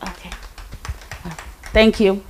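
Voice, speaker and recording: A woman speaking at a community memorial event, delivering remarks and poetry in short, measured phrases. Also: medium pitch, steady tone, darkish tone, a little hiss or hum.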